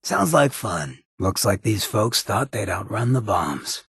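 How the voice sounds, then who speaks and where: An actor playing a roguish, irreverent ghoul mayor in a video game, with a raspy voice, an American accent and a streetwise, sardonic drawl. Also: male voice, on the low side, sing-song, slow, fairly bright tone, no echo, studio quality, full character voice. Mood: amused, cocky. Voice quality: raspy, gravelly. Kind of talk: video game character dialogue.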